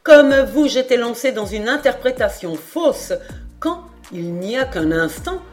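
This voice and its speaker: Female reader as excitable male scholar, storybook character, declamatory, self-assured, lifted pitch. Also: a little slow, faint music behind. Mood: fervent conviction. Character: excitable, confident French male scholar, a geographer. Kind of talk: expressive audiobook character reading.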